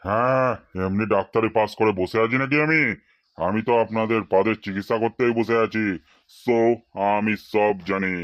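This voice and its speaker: Man playing a pompous low-voiced cartoon quack doctor. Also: fairly even intonation, normal speed, lightly acted. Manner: pompous, bossy, mixes in English words. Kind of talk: comedy cartoon dialogue. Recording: roomy, dull lo-fi sound.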